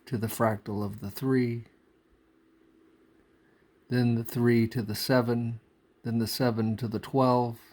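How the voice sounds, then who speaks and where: A man speaks very slowly in a mellow, dark voice. He delivers an off-the-cuff spiritual talk, pausing often and circling back over his phrases with deliberate care. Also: mid-range voice, flat delivery. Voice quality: frequent throat clearing. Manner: halting, deliberate phrasing with pauses and repetition. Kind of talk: unscripted spiritual monologue.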